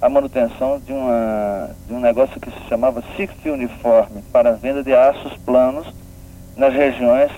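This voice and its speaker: Through a thin, phone-like band with faint noise comes a man with a Pernambuco accent. His pitch is mid-range. He explains patiently as an interview guest, in a didactic way, checking with small tag questions. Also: steady tone, moderate pace. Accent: northeastern Brazilian, from Pernambuco. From Pernambuco, northeastern Brazil. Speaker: guest, regional development agency official. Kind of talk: radio interview, explanatory talk.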